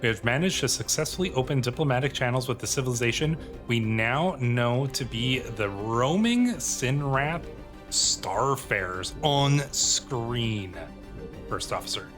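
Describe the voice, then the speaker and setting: Clear music bed, male gaming host, medium pitch, roleplay commentary, reading aloud, musing to himself. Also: lively intonation, measured pace. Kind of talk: roleplay game commentary, reading on-screen text aloud and thinking out loud. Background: game music.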